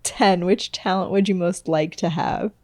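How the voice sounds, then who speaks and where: Woman podcast host, lowish voice; relaxed interviewer, brief murmured agreements; dry close mic. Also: unhurried, dry recording. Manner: asks numbered questions, gives short murmured agreements. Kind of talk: casual interview chat.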